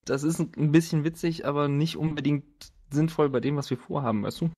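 A man's voice: a little high, fairly even intonation, a little fast, darkish tone. A man chatting casually during a tabletop role-playing session, colloquial and joking in his way of talking.

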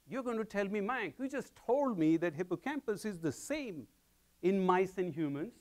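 Middle-aged Indian-accented man, public lecturer, lively up-and-down delivery, slightly high voice, lapel mic in a lecture hall. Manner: animated, gesturing while pacing the stage. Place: large lecture hall with audience.

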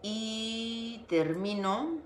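A woman's voice: a little high, lively intonation, drawn-out words, slight background noise. A middle-aged Spanish woman hosting a casual craft livestream from home, chatting and laughing as she greets viewers by name. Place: home room with window. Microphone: device camera on desk. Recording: echoey room.

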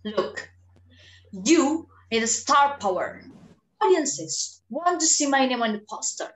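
A vain young film star voiced by a woman with a highish, very animated voice. She is self-important and sarcastic, and the room rings around her on the video call. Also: takes it slowly, exaggerated voice. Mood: defiant. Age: young adult. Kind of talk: amateur dramatic reading. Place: small room at home, indoors. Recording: roomy video-call sound.

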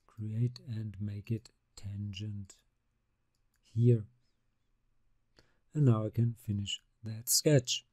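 A man, narrating a screen-recorded software tutorial step by step, calmly describing each click. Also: on the low side, no echo. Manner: unhurried, step-by-step narration of mouse actions. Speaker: CAD software instructor.

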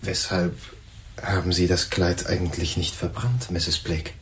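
An actor playing a pointed, accusing police sergeant in a German crime radio play, probing, direct and formal. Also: male voice, low-pitched, flat delivery, a little slow, slight background noise. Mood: quiet.

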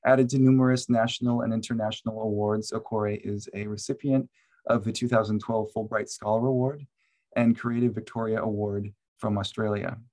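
A man moderating a panel on a video call, reading a formal introduction of the speaker in a steady, measured way. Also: on the low side, low-quality recording.

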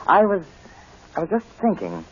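A man's voice: high voice, huge pitch swings, moderate pace, heavily acted. A man playing a suave, arrogant, threatening big game hunter in 1940s radio drama. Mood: gloating. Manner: suave, mock-polite, threatening. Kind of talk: 1940s radio villain acting. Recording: muffled old radio recording.

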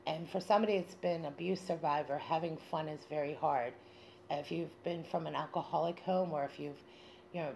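Low, creaky-voiced woman radio host, halting. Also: darkish tone, slight background noise. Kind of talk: informal spoken radio monologue.

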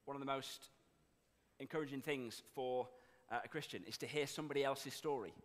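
A man's voice: mid-range voice, fairly quick. A young man leading a church service, giving upbeat instructions and introducing speakers from a lectern. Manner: upbeat, directive announcements. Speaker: service leader. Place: church hall with raised stage. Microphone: lectern microphone. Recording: echoey church hall.

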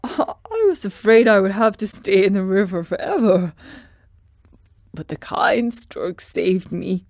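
A woman voicing a cheerful, simple-minded male scarecrow in a storybook, speaking gently with a sing-song lilt. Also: medium pitch, no room sound, studio quality. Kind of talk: storybook character voice.

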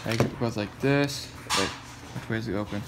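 A young man casually explaining a makeup gift in a halting, offhand way, speaking away from the phone mic. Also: on the low side, heavy background noise. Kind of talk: casual chat.

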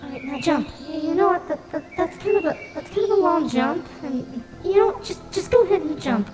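Bumbling robot guide, female, played by a woman: high, nervous, stammering chatter, echoey amateur mic. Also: lively intonation, fairly quick. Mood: nervous, rambling. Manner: stammering, rambling, flustered. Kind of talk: amateur fan dub of a game scene.

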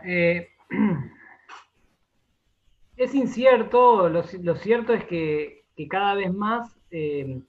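An Argentine man being interviewed on radio, explaining in a halting, conversational way and often repeating his words. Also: a little high, narrow, tinny audio. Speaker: interview guest, radio drama director and writer.

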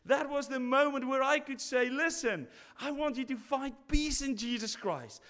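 Pastor guy at the pulpit, high voice, acts out the whole back and forth, churchy reverb. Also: moderate pace. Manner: animated storytelling, acting out both sides of a conversation. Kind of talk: sermon. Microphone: stand mic at pulpit. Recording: long reverb of a church hall.

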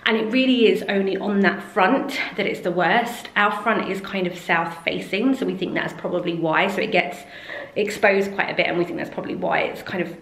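A woman's voice: mid-range voice, fairly quick, fairly bright tone. A woman in her thirties chatting casually to a close handheld camera in a large kitchen. She rambles and uses many fillers. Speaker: home renovation vlogger. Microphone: camera held close. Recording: echoey room.

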